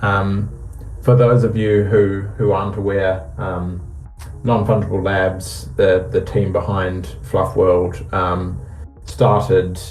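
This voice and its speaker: Relaxed New man, roomy sound. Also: low voice, slow. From New Zealand. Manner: relaxed, many ums and restarts. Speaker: guest, company CEO. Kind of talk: casual interview on a voice call. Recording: roomy echo, faint music behind.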